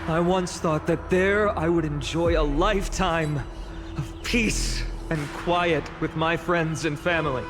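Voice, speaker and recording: A solemn young hero, voiced by a man, speaks to a whole city in a game cutscene. His voice sits high and his delivery is slow and deliberate, each phrase weighed. Orchestral music plays strongly beneath him. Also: steady tone, natural voice. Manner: solemn, impassioned public address. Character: young male hero, earnest orator addressing a city.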